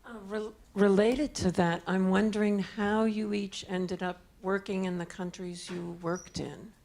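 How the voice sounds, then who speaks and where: Gravelly woman asking the panel a question. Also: mid-range voice, level tone, measured pace, darkish tone. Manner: inquisitive. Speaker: audience questioner.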